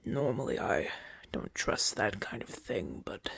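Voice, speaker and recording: Breathy, husky wounded gladiator played by a man. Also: fairly low pitch, expressive, fairly bright tone, no echo, in a whisper, full character voice. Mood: drowsy, trusting. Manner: breathy, halting, weary delivery with gruff bluster. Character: wounded male gladiator champion, brash, proud, trusting his doctor. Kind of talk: ASMR audio roleplay.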